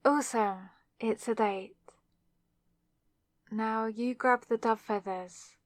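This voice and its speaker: A woman's voice: a little high, fairly even intonation, takes it slowly, no echo, studio quality. A young woman playing a flustered, awkward student witch with a wry, self-deprecating manner in an ASMR roleplay, speaking straight to the listener. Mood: elated, shy. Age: young adult. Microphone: close microphone in a dry space.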